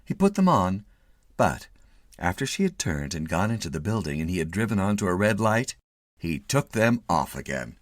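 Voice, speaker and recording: A man reading a comic short story dramatically, with theatrical, expressive delivery. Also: low-pitched, moderate pace, no room sound, studio quality. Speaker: story reader.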